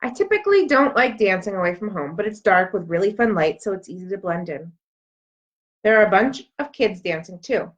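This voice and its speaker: A woman reading a children's book aloud as an expressive storyteller. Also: mid-range voice, fairly quick. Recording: dull, lo-fi sound.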